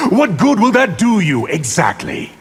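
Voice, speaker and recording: An actor voicing a smug, scheming sorcerer villain in a video game, with a measured, theatrical, sneering delivery. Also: male voice, high-pitched, heavy background noise, heavily acted. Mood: mocking. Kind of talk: video game character dialogue.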